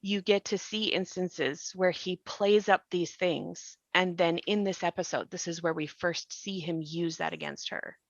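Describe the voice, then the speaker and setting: A woman's voice: lowish voice, steady tone, unhurried, vocal fry, few pauses, no echo, muffled recording. A woman in an earnest explanatory discussion, emphatic and given to rhetorical questions. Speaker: podcast creator and actor discussing the show.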